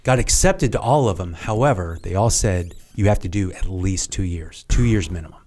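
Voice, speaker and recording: A middle-aged man, a guest on a podcast, telling a story in a halting, rambling way that trails off quietly. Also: deep voice. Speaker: podcast guest, retired Navy serviceman. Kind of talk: casual interview storytelling.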